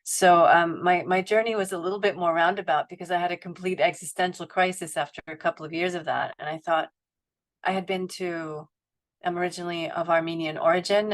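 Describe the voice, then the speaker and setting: A woman's voice: lowish voice, fairly even intonation, fairly quick. A middle-aged woman on a panel telling her own career story in a reflective, roundabout way, with many restarts and fillers, speaking over a video call from home. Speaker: panelist at a family foundation. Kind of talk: webinar panel talk.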